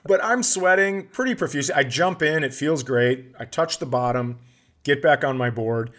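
A man's voice: mid-range voice, moderate pace, a bit bright. A man hosting a podcast, telling a casual comedic story in a profane, exasperated way and acting out the dialogue. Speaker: podcast host.

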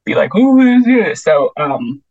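A woman sports reporter talking in a casual interview, chatty and quick, often restarting her sentences and laughing. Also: deep voice, big pitch swings, a little mellow, no room sound, highs cut off. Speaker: tennis and basketball beat reporter.

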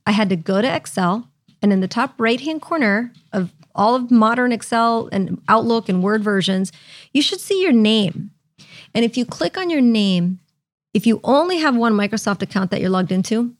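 A woman's voice: fairly high pitch, lively intonation, normal speed, dry recording. Chatty woman podcast host, dry sound. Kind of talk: explaining tech casually.

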